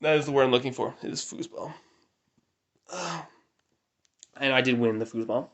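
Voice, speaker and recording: A man's voice: mid-range voice, normal speed, a bit bright. A nasal young man giving a wry, rambling recap of a family trip in mock-formal wording. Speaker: vlogger.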